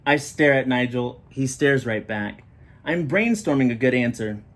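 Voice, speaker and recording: A man reading a children's picture book aloud in an expressive storytelling style, with short explanatory asides. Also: mid-range voice, measured pace. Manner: expressive, acting out children's dialogue.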